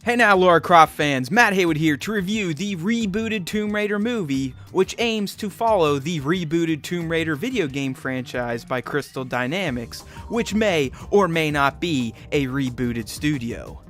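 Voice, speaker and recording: A man's voice: fairly high pitch, lively intonation, unhurried, hardly any pauses, fairly bright tone. A man hosting a film review, reading scripted narration. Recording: music bed behind voice. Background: background music.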